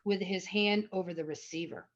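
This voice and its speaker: A woman's voice: on the low side, moderate pace. A woman narrator reading out screenplay stage directions as scripted narration. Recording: dull lo-fi audio.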